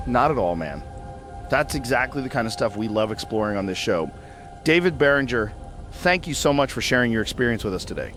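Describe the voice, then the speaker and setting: A man acting as a curious male podcast host in an audio drama. His voice sits at a medium pitch, casual and encouraging, with faint music underneath. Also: sing-song, brisk, a bit bright.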